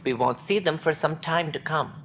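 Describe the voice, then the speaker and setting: An actor voicing an anxious, formal alien official in a video game, speaking in a clipped, precise way. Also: male voice, a little high, moderate pace, a bit bright, slight background noise. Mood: measured, helpful. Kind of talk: video game dialogue acting.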